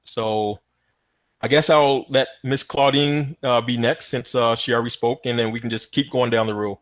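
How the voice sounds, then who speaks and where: A young man from the United States hosting a student event, speaking in a relaxed, halting way with many pauses and fillers. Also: mid-range voice. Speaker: host of an online student event. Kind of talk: casual hosting with hesitant fillers.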